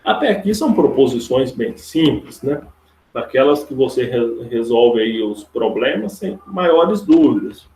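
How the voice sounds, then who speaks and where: Man, Brazilian accent, roomy echoing audio, creaky mid-pitched voice, informal teacher, repetitive step-by-step explanation. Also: big pitch swings, a little slow, mellow, slight background noise. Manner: informal, repetitive, often seeking agreement with tag questions. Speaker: math and logic teacher. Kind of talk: live online lesson, explaining step by step. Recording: roomy, echoey online class audio.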